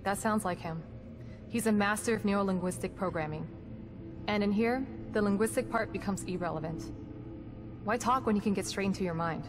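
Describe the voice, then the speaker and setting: Woman acting composed game psychologist, mid-pitched voice. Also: fairly quick, lightly acted. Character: composed, caring, brave female team psychologist. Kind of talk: dramatic video game acting. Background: music.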